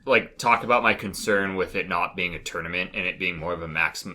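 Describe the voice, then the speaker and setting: A man's voice: low-pitched, fairly quick, pushed and bright. A man talking casually and giving opinions as a podcast panelist, rambling and often cutting into his own sentences as he hedges. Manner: rambling, self-interrupting, hedging.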